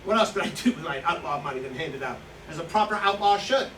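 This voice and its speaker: A man acts out a boastful, boozy self-styled outlaw in a stage play reading. His voice sits high for a man, and he rambles on in smug self-justification. It is picked up from far off in an echoey room with clear background noise. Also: animated, quick pace, a bit bright. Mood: defiant, boastful. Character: boastful, hard-drinking male Slovak profiteer, self-styled outlaw. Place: indoor staged reading, distant microphone.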